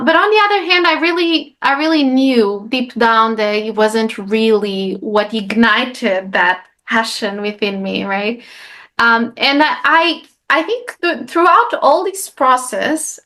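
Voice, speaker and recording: A woman's voice: fairly high pitch, sharp tone. A woman from Portugal, a native Portuguese speaker, talking as a guest in a casual interview. She leans on fillers and often tags her sentences with "right?". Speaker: guest, life coach.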